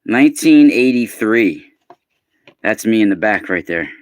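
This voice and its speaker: A man in his forties hosts a live unboxing stream, his voice sitting a little low yet sounding surprisingly young. He chats casually with viewers and calls them by name, breaking into bursts of excitement. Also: unhurried, dry recording, clean studio sound. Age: 40s. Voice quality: youthful-sounding voice.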